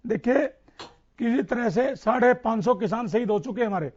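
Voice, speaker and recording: A man with a high voice argues passionately as a farmer leader on a debate panel. He is insistent and repeats his phrases for emphasis, and the audio is dull and lo-fi. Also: normal speed, darkish tone. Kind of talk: impassioned political debate.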